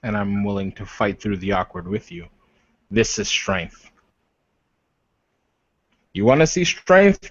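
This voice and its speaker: A man's voice: on the low side, very animated, takes it slowly, pauses often, dry recording, exaggerated voice. A man playing a proud, protective werewolf leader in improvised roleplay, arguing insistently with repeated phrases and pointed questions. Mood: earnest, impassioned. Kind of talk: improvised in-character roleplay dialogue. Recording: dull, lo-fi voice recording.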